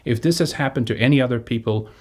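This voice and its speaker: A man's voice: medium pitch, quick pace, darkish tone. A man from Sweden, a native Swedish speaker, hosting an online show and making an unscripted appeal to viewers, often repeating and restarting his words.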